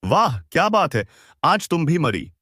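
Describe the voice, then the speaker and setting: A man as a frank, animated train traveller. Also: a little high, a little slow, no room sound, studio quality, voice pushed up, exaggerated voice. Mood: impressed. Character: tall, frank male train passenger, businesslike.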